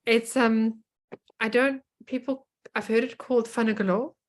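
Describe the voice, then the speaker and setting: A South African woman co-hosts a podcast in a casual, conversational style. Her voice sits a little high, and she talks informally with a South African accent. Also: fairly even intonation, normal speed. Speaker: podcast co-host.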